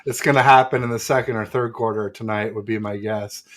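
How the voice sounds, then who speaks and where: A man hosting a casual sports talk livestream, chatting in a halting way with frequent pauses. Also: fairly low pitch, steady tone, a crowd in the background. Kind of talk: casual sports chat with a guest and live chat.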